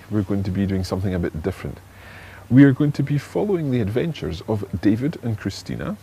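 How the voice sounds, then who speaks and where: A man's voice: fairly low pitch, mellow, slight background noise, low-quality recording. A man presenting a scripted introduction to a language podcast.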